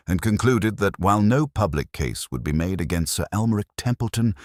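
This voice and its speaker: A man with an extremely low voice narrates a mystery story in solemn, literary phrasing. His pitch moves expressively through each measured sentence. The sound is dry and close, with little room around it. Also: no room sound. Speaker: audiobook narrator reading as Dr. Watson. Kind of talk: scripted dramatic story narration.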